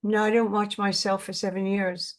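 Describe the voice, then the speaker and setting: An older woman with a New York accent speaks in a mid-range voice, earnest and halting. She circles back over her words and restarts as she reaches for what she means. Her tone is plainly conversational. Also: mid-range voice, little pitch movement. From Brooklyn, New York. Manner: earnest, halting, repeats words while searching. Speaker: actress guest. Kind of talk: casual interview chat.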